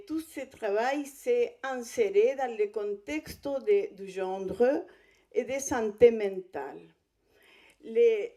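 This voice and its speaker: A woman from Chile with a Spanish accent, a native Spanish speaker, giving a conference presentation in a hesitant, halting way. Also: a little high, dark tone. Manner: hesitant, halting delivery with non-native phrasing. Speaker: guest speaker, visual artist.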